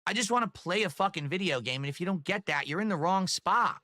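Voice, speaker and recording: A man's voice: sits high, animated, quick pace, bright, forceful tone, dry recording, studio quality. A man ranting angrily and defensively at his viewers from his home office, heard in a clip from a live stream. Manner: defensive, angry and profane rant. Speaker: gaming streamer.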